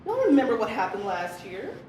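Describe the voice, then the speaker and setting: A woman's voice: medium pitch, huge pitch swings, a little fast, a little mellow, far from the mic. A woman playing a brash, gossipy office coworker in a comic radio-style Christmas party sketch. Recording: echoey, noisy room, off mic.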